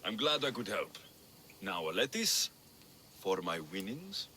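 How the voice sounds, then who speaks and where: A man's voice: fairly low pitch, big pitch swings, unhurried, pushed and bright, slight background noise, full character voice. An actor playing a confident, wry male mercenary adventurer in a video game, with a firm delivery. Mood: wry, businesslike. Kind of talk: video game dialogue acting.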